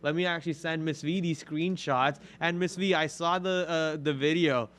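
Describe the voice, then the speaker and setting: A man's voice: on the high side, fairly even intonation, moderate pace, fairly bright tone, a little hiss or hum. A man hosting a live stock trading show, chatting and joking casually while calling out chart levels.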